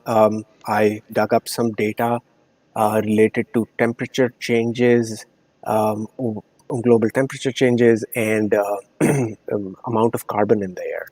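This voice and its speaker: A man's voice: on the low side, a little mellow, no echo. A middle-aged man with an Indian accent, a podcast guest answering thoughtfully with frequent ums and restarts. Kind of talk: conversational interview answers.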